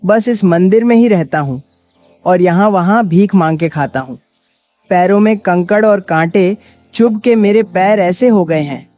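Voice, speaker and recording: Cartoon story beggar, male actor: poor old man, high thin pitch, humble, music underneath. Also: unhurried, darkish tone. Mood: plaintive. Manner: plaintive, humble. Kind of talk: animated Hindi moral story acting. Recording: dull lo-fi audio with music behind.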